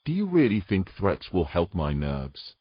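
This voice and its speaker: A man's voice: low-pitched, wildly swooping pitch, measured pace, breathy edge, no room sound, lo-fi sound, heavily acted. A man playing a proud, nervous knight in a fantasy audio drama, speaking in formal old-fashioned phrases with dry sarcasm. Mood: indignant, dry.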